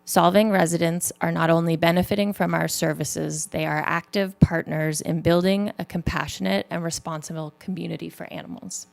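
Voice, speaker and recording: A woman's voice: on the low side, steady tone, moderate pace, creaky, few pauses. A woman from an animal shelter reading a prepared statement to a city council, with small slips and restarts. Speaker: humane society representative.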